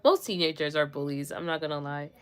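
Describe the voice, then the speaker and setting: A young woman from Jamaica, with no accent, chats casually as she does her makeup on stream. Her voice sits slightly low, and she rambles with plenty of fillers. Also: fairly even intonation, fairly quick, a little hiss or hum. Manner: chatty, rambling with many fillers and laughs. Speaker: livestream host. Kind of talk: casual chat while doing makeup.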